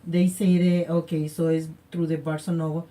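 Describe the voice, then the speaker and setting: A woman hosting a radio interview, hoarse from illness, speaking haltingly with a Spanish first language. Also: deep voice, little pitch movement, warm tone. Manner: halting, slips in her wording. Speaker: radio show host.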